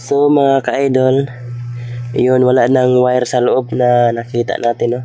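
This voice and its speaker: A man's voice: mid-range voice, completely flat delivery, unhurried, mellow. A man giving a casual hands-on vlog tutorial, informally addressing his viewers as he goes. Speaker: vlogger demonstrating a gadget.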